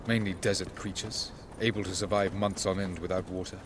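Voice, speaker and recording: A man's voice: sits low, fairly even intonation, fairly quick, background noise, lightly acted. An actor playing an aloof, ancient male elf sage who speaks in formal, condescending sentences in a video game. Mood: lofty.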